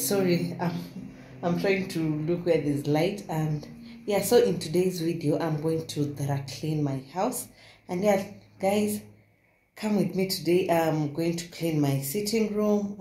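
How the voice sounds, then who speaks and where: A young woman from Kenya hosting a casual home vlog, talking softly and keeping her voice low while her baby sleeps. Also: a little hiss or hum. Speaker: vlogger. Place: living room at home. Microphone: phone camera close up, later set down across the room. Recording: roomy home echo.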